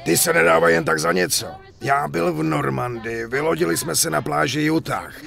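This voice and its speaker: An actor playing a chatty, hearty middle-aged gun dealer and war veteran in a video game dub, talkative and foul-mouthed. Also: male voice, a little high, steady tone, vocal fry, fairly bright tone. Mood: curious, impressed. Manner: talkative, foul-mouthed, showy sales patter. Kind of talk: dubbed video game cutscene acting.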